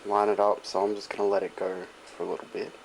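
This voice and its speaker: A man's voice: lowish voice, flat delivery, fast talker, gravelly. A man giving a casual, hands-on tutorial in an offhand, loose and informal way. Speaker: tutorial presenter. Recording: noisy home recording with clicks.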